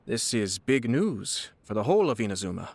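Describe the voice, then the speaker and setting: A man's voice: lowish voice, big pitch swings, normal speed, gravelly, no room sound, full character voice. An actor playing a courteous young nobleman and clan head in a video game, formal, eloquent and composed. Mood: polite, measured. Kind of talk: video game character acting. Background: music.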